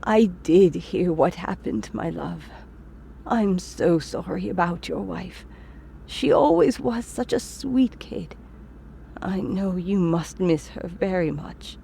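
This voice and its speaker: A woman's voice: lowish voice, takes it slowly, creaky, mellow, a little hiss or hum. A woman voicing an older, eccentric former science teacher in a comic dub, doting and teasing. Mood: sympathetic.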